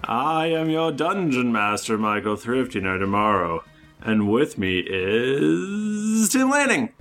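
A man's voice: mid-range voice, big pitch swings, slow pace, a bit bright, soft background music. Snuffly man running a game podcast. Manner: loose, rambling, full of restarts. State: head cold, snuffly. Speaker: dungeon master and podcast host. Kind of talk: casual podcast banter.

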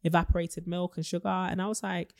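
A woman in her twenties, from Nigeria, co-hosting a podcast in relaxed conversation, animated and quick to laugh, thinking aloud with asides. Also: on the low side. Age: 20s. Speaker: podcast co-host. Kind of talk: casual chat.

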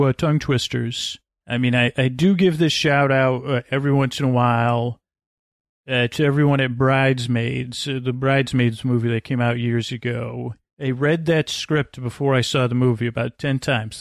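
Gravelly, halting man rambling in a dry recording. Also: mid-range voice, no room sound. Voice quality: mumbling, mush-mouthed. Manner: meandering, halting, stammering delivery. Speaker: podcast host. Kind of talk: rambling, tangent-filled bedtime podcast monologue.

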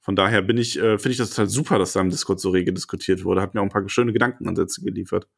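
Husky-voiced man in joking podcast talk. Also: low-pitched, steady tone, rapid-fire. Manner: joking, giggly banter. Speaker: podcast co-host. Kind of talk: casual podcast chat.

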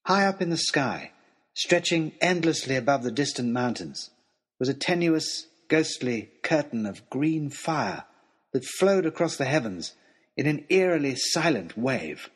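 A man playing an earnest, lovestruck gentleman adventurer who tells his journey in formal, old-fashioned, expressive storytelling. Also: medium pitch, unhurried, husky. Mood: awed. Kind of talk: dramatised first-person storytelling, as a letter to a lady.

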